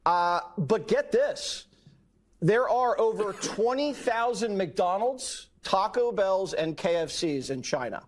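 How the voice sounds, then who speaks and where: A man giving sardonic, mock-serious political commentary in a deadpan manner. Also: sits high, slow pace.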